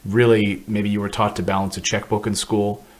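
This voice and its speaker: Male interview host, middle-aged, lowish voice, energetic and friendly on-air patter. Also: normal speed. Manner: energetic, plugs channel, jokes about names. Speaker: show host. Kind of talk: upbeat interview chat.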